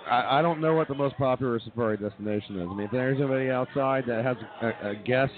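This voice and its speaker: A man's voice: medium pitch, fast talker, a crowd in the background. A man hosting a live comedy radio show, mixing mock-formal announcing with casual banter. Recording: thin phone-like sound with audience noise.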